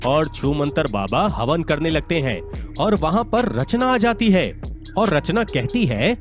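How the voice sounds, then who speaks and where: Male narrator for a children's moral tale: highish pitch, very lively intonation, music bed. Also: unhurried. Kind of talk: expressive scripted storytelling narration in Hindi. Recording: music behind.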